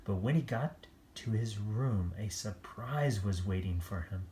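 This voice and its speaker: A children's story-time reader giving an animated picture-book read-aloud, playful, with chatty asides and exclamations. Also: sits low, measured pace.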